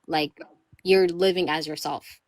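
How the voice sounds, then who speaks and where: A woman's voice: low voice, fairly even intonation, unhurried, dry recording. A young woman hosting a casual podcast chat, speaking in a rambling way full of "like" and "you know", careful to leave room for nuance. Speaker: podcast host. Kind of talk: casual conversation.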